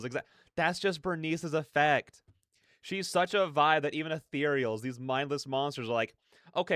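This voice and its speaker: A man's voice: mid-range voice, fairly bright tone, clean tone, no room sound, clean studio sound. A man giving casual, effusive, slangy reaction commentary as a streamer, often repeating his phrases.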